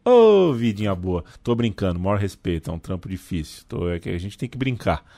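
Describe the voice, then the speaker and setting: A man's voice: deep voice, big pitch swings, moderate pace, warm tone, no echo. A Brazilian man hosting a casual football podcast in a studio, joking around with the panel. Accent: Brazilian Portuguese. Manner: jokey, playful, wraps up the show. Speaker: podcast host. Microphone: studio mic.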